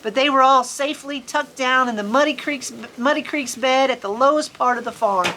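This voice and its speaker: A woman reading a picture book aloud to children in an expressive, folksy, chatty storytime manner. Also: high voice, moderate pace, slight background noise. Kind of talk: animated children's story reading with casual asides. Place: on a farm among sheep.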